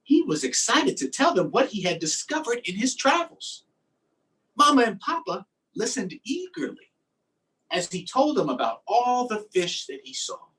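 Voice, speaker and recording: A man's voice: extremely high pitch, sing-song, normal speed, a bit bright, far from the mic, poor audio. A man hosting a storytime, reading aloud and chatting simply and encouragingly with young children. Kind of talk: read-aloud and casual chat to young children.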